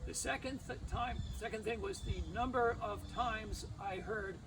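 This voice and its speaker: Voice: mid-range voice, unhurried. A person giving an informal memorial tribute, telling anecdotes with emphatic repeated phrases. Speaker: speaker at a memorial service. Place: outdoors at a cemetery memorial gathering. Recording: distant outdoor recording with background noise.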